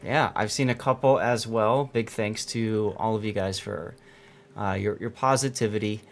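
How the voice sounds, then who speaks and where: A man's voice: lowish voice, fairly even intonation, moderate pace, vocal fry. A man hosting a game tournament on his stream, chatting casually with a co-host and the audience, rambling with fillers.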